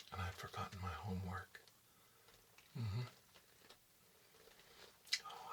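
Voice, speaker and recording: A man performing an ASMR role-play as an eerie, softly menacing technician, in a breathy whisper with lingering, theatrical, repetitive phrasing. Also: distant mic, background noise. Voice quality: breathy whisper mixed with soft low speech. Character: eerie male memory removal technician, softly menacing, nostalgic. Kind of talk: ASMR role-play, intimate dramatic monologue.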